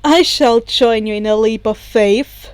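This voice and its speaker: A woman voicing a courtly, gentle male villain in a story, with formal, deliberate phrasing. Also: on the high side, clear, resonant tone, no room sound, lightly acted. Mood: resolute.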